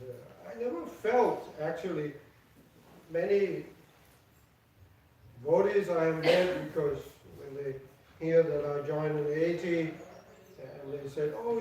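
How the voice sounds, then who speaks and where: An older man speaks slowly and haltingly, often restarting mid-phrase with repeated words. His voice sits a little high for a man. He sounds far from the mic in a very echoey temple hall. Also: mellow, a little hiss or hum. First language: Danish. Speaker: devotee giving an offering speech. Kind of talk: personal tribute speech.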